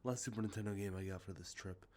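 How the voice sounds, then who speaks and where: A man with a congested, sniffly nose, talking softly and rambling, with many fillers, as an ASMR host showing off games he collected. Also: fairly low pitch, quick pace. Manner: rambling, many ums and uhs, self-correcting. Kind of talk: soft-spoken show-and-tell about collected video games. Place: outdoors with traffic nearby.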